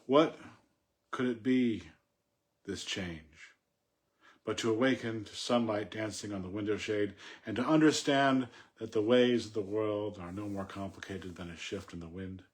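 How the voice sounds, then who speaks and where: Guy with a lowish voice doing poetry reading and commentary, kinda thoughtful and informal. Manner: halting, thinking aloud with self-corrections. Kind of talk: poem recitation and informal unscripted commentary.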